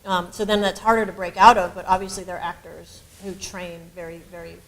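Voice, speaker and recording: A woman's voice: on the low side, level tone, brisk, a little hiss or hum, low-quality recording. A woman on a university panel, explaining ideas in a searching, thoughtful way with frequent ums. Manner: explanatory, many fillers and restarts. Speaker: panelist and researcher. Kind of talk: academic panel discussion.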